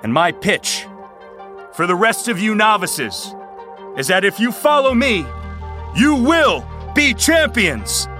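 A man's voice: sits high, very animated, slow pace, over music, full character voice. A man playing a brash, contemptuous young college rowing captain in an audio drama, barking and taunting with a commanding manner. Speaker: team captain.

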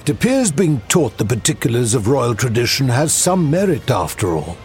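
An actor playing a dry, scholarly companion in a fantasy audio drama, speaking in formal, wordy phrases. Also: male voice, medium pitch, sing-song, moderate pace, a little mellow, soft background music, close to an everyday voice. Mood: intrigued, dry.

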